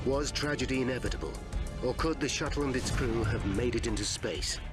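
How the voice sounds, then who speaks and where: A British man narrates a disaster documentary in a dramatic, scripted style. His mid-pitched voice sits over loud music, with booming effects behind it. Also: brisk.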